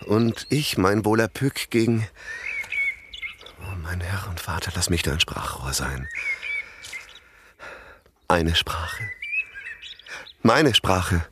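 An actor in a German radio play playing a fervent, self-important priest with a preacherly, impatient manner. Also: male voice, deep voice, lively intonation, slow pace, long pauses, background noise. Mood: fervent, prayerful. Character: fervent, domineering priest who invented a world language.

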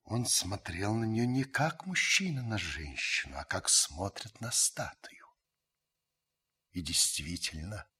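Observant uncle recalling wartime in radio play: husky voice, expressive melody, measured literary storytelling. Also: male voice, fairly low pitch, heavily acted. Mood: observant, grave. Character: reflective, observant French uncle narrating his wartime memories. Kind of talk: radio drama narration.

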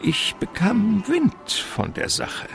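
An actor playing a suave, wily criminal mastermind in a German radio crime drama, speaking in a courtly, verbose and pompous way. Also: male voice, high voice, very animated, takes it slowly, gravelly, soft background music, exaggerated voice. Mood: relishing storytelling.

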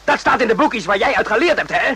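A very raspy, forceful soldier in a radio drama. His high, strained voice swings widely as he defends himself with indignation. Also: animated, fast, exaggerated voice. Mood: indignant. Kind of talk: radio play acting.

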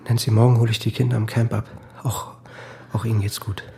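An actor playing a guilt-ridden, distraught husband in a German radio play, with halting, stammering speech that repeats itself. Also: male voice, lowish voice, flat delivery, creaky, dark tone, a little hiss or hum. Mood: pleading, remorseful.

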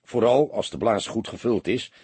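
Man doing a low, husky, weary detective. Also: normal speed, darkish tone, no echo. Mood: reluctant, downcast. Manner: hesitant, deferential, understated. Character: world-weary police detective, feigning meek, sheepish humility. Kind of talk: audiobook character voice.

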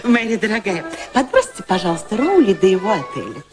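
An actress playing an imperious, witty society princess in a radio play, grand, exclamatory and drily sarcastic. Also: female voice, medium pitch, sing-song, brisk, natural voice. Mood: gracious, arch. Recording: music playing behind the voices.

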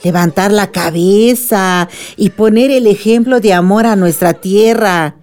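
A woman playing a wise older grandmother in a radionovela, earnest and deliberate as she consoles and persuades. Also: mid-range voice, a little mellow, natural voice. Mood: encouraging. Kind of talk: radionovela acting.

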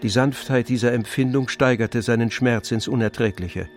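A man narrating a literary radio drama in a measured, grave manner. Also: medium pitch, soft background music.

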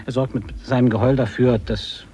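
A man acting a proud, earnest and excitable polar explorer in a radio drama, thinking aloud expressively as if interviewed. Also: mid-range voice, normal speed, natural voice. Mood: earnest, animated. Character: excitable male polar adventurer, proud, earnest, devoted to his dog.

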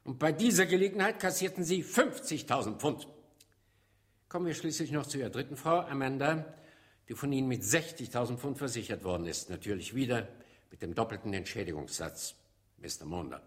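An actor playing a sharp, insistent prosecutor in a radio drama, questioning formally with pointed irony. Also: male voice, medium pitch, fairly quick, lightly acted. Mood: coolly incriminating. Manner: formal, pointed, ironic cross-examining. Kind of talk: German radio drama acting.

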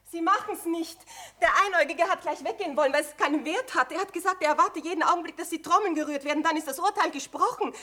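A woman's voice: extremely high pitch, fast, pushed and bright. An actress playing an anxious, blunt female go-between who brings bad news in terse, clipped phrases, in stage drama style. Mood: urgent.

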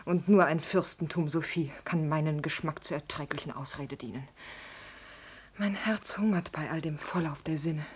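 A husky, fairly low-voiced noblewoman in a classical radio drama. She is proud, passionate and agitated, speaking theatrically with breathy, intense outbursts. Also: moderate pace. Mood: bitter yearning. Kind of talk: classical German stage drama acted for radio.

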